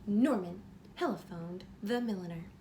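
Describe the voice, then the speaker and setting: A woman reading a children's story aloud like a teacher, pausing to explain simply and ask encouraging questions. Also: mid-range voice, warm tone, slight background noise.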